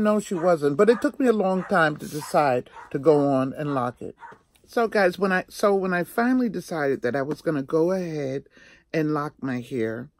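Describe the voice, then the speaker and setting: A woman in her sixties telling a personal story in a casual, chatty vlog style, animated and emphatic, often repeating herself for effect. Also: low-pitched. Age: 60s.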